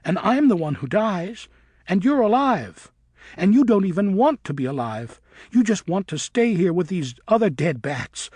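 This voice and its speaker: A man voicing a young female bat who is angry and grieving, shouting and wailing in a high voice. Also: lively intonation, slow, no room sound, heavily acted. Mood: furious.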